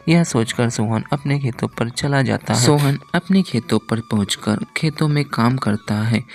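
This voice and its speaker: A man's voice: mid-range voice, a little mellow. A man narrating a scripted village moral story as its storyteller.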